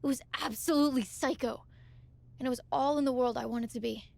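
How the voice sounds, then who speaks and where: A woman's voice: sits high, fairly even intonation, a little fast, gravelly. An actress playing a plucky, principled young female pro wrestler in a video game cutscene, earnest, emphatic and colloquial. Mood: wistful, admiring.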